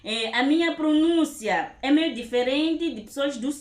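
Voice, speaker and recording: A woman's voice: high-pitched, measured pace. A Mozambican woman with a central Mozambican accent, chatting casually, echoing her partner's words and acting out little scenes. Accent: central Mozambican Portuguese. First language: a Mozambican language. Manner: echoes the other speaker's words, acts out scenes. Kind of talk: casual chat.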